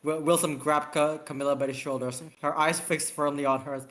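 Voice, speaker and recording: An amateur reading fan fiction aloud and chatting casually, halting and often repeating words. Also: mid-range voice, fairly even intonation, a bit bright. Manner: halting, stumbling over words and repeating them. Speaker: author reading own story aloud.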